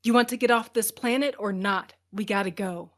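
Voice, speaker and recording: A woman's voice: mid-range voice, normal speed, close to an everyday voice. A woman playing a tough, protective pilot and loyal sister in a sci-fi audio drama, speaking in a blunt, clipped way. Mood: urgent.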